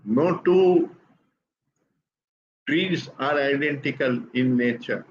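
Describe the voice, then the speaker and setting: An older man from India with an Indian English accent, giving a calm, deliberate explanation as a guest speaker. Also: medium pitch, muffled recording, a little hiss or hum. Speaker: guest speaker on spiritual teachings. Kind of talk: measured explanatory talk.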